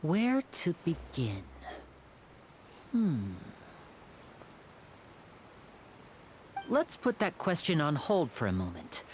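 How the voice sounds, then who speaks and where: A woman playing a suave, courteous young man in a video game, smooth-talking, theatrical and playful. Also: deep voice, wildly swooping pitch, slow pace, slight background noise, heavily acted. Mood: measured, musing. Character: suave young male magician, courteous, playful older brother. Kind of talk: English video game voice acting.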